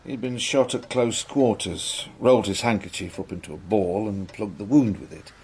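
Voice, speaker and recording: An actor with an English accent playing a methodical, matter-of-fact police inspector in a British radio drama, husky and measured. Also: male voice, lowish voice, a little mellow. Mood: factual.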